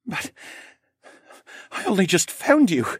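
A man's voice: sits high, wildly swooping pitch, measured pace, dry recording, clean studio sound, voice pushed up, full character voice. A man voicing a nervous, self-doubting young male green dragon in a hesitant, emotional way for a fantasy audiobook. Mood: tearful.

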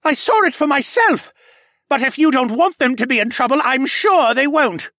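A man's voice: extremely high pitch, lively intonation, moderate pace, sharp tone, dry recording, studio quality, voice pushed up, full character voice. A man voicing an angry, defiant musk ox demi-human in a fantasy audiobook, spitting out accusations. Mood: furious, frenzied.

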